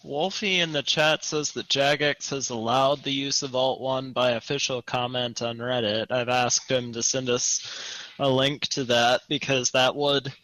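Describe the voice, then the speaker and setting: A man's voice: mid-range voice, steady tone, a little slow, bright, forceful tone, no room sound, poor audio. A man talking casually as co-host of a gaming podcast. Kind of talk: casual panel discussion about online games.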